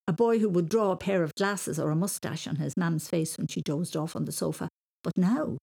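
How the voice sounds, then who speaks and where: A woman voicing a gentle, affectionate father talking patiently and fondly in a children's audiobook. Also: lowish voice, vocal fry, husky, full character voice. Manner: patient, explanatory, fond.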